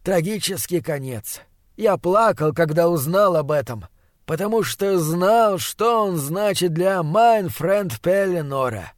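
A man's voice: sits high, expressive, slow pace, no echo, clean studio sound, voice pushed up. A man voicing a stocky, sentimental old mentor in an audiobook, exclaiming emotionally with phrases. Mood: solemn, tender. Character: stocky elderly Austrian scholar, effusive, sentimental, warm-hearted old mentor. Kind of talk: acted character in audiobook narration.

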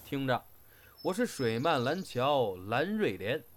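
Man playing a bossy, animated crosstalk straight man. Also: medium pitch, a little slow. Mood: reciting, exasperated. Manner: scolding, brusque, theatrical when reciting. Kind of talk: crosstalk comedy dialogue in audio drama. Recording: faint background noise.

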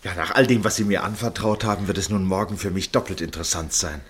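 A man's voice: low voice, quick pace, breathy edge. A man acting a polite, sympathetic listener in a radio play, speaking tactfully and formally. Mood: cordial. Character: polite, sympathetic male listener aboard a ship.

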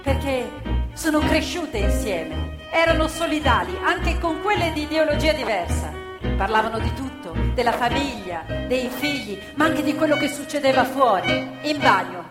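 An actress playing a proud, impassioned storyteller of women factory workers, emphatic and theatrical in a radio theatre piece. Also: female voice, high-pitched, animated, moderate pace. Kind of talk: stage radio theatre narration in Italian. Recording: reverberant hall, loud live music behind. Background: loud live musical instruments.

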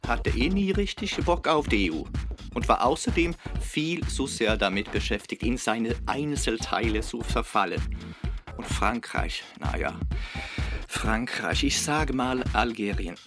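A man's voice: medium pitch, expressive, a little slow, music in the background, lightly acted. An actor playing a casual, sardonic man giving a documentary-style interview in a radio drama. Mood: sardonic, explanatory. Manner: colloquial, sardonic. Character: casual, sardonic man recounting Europe's collapse.

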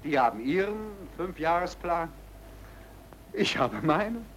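An actor playing a suave, smugly amoral racketeer in a vintage radio play, with a nonchalant, theatrical delivery. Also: male voice, fairly high pitch, sing-song, a little slow, fairly bright tone, a little hiss or hum, low-quality recording. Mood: breezy, philosophizing. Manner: theatrical, nonchalant, swinging from muttering to declaiming.

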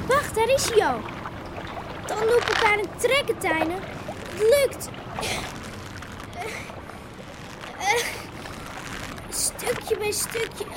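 Slow, very high-voiced little girl. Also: exaggerated voice. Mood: tense, excited. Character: anxious, plucky little girl in a flood. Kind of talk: Dutch radio drama acting. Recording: noisy, with rushing water sound effects.

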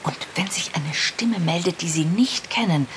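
A woman's voice: fairly low pitch, lively intonation, normal speed, noisy background, close to an everyday voice. An actress playing a strict, cautious French resistance woman who admonishes formally, in a German radio drama. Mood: alarmed, admonishing.

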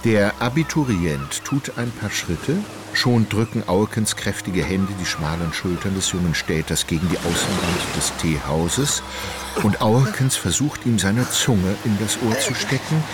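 Male radio drama narrator: low, lively intonation, measured and wry, ambience behind. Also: heavy background noise, heavily acted. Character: wry, detached literary narrator of a radio play.

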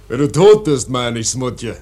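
A ship's mate in a radio drama. He is bossy and fussy, with a gruff, commanding way of talking and a mocking, teasing edge. His pitch sits in the middle of the male range. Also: a little mellow, exaggerated voice. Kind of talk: German radio play acting.

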